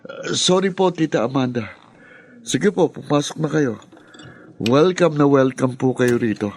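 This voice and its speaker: An actor playing an insolent, combative young son who speaks with sarcasm in a Filipino radio drama. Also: male voice, mid-range voice, a little slow, darkish tone. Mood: mocking.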